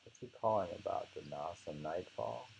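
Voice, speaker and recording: A man's voice: low voice, animated, fairly quick, gravelly, darkish tone, slight background noise, poor audio. A man reading aloud from a book of poetry and commenting informally, in a rambling, musing manner. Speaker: reader leading a poetry book discussion.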